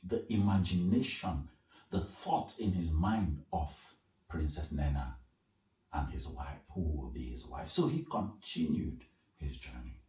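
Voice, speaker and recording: Low voiced guy from nigeria telling a folktale, slow n dramatic, echoey and a bit far from the mic. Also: lively intonation, dark tone. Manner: theatrical, with suspenseful pauses and emphasis. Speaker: storyteller. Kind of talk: dramatic oral storytelling of a folktale. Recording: echoey room, distant from the mic.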